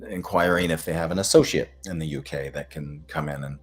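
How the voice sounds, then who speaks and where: An American man from the Midwest giving informal question-and-answer health advice as a doctor, in a halting way with pauses between phrases. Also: extremely low pitch.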